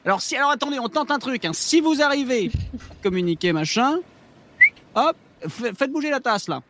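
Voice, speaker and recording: High-voiced man chatting at a game table. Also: expressive, normal speed, noisy background. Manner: rambling and hesitant, swears casually, laughs often. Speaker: player. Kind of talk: casual tabletop roleplay chat. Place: home streaming setup.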